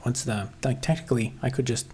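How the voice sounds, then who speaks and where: A man with a tired, slightly hoarse voice, chatting casually on a livestream about his coding project. He clears his throat often and talks hesitantly with lots of fillers. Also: medium pitch, fairly even intonation, fairly quick, darkish tone, a little hiss or hum. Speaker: software developer livestreaming. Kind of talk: casual livestream chat, answering viewers.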